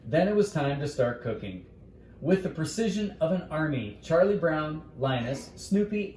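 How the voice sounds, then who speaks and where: Animated male bedtime storyteller, highish voice. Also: roomy sound, slight background noise.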